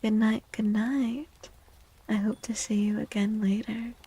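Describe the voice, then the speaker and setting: A woman streaming soft-spoken ASMR, gently chatting in a breathy, often whispery voice and softly repeating phrases in a soothing way. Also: a little high, fairly even intonation, unhurried.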